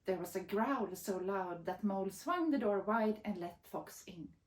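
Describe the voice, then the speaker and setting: A woman, reading a picture book aloud as expressive storybook narration. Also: mid-range voice, measured pace, roomy sound. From Sweden. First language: Swedish.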